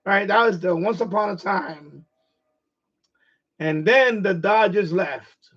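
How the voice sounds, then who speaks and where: A middle-aged man from New York with a New York accent, hoarse, chatting casually on his livestream and restarting his phrases as an eager sports fan. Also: high voice, slow, a bit bright. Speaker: livestream host. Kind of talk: casual rambling chat. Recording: dull lo-fi stream audio.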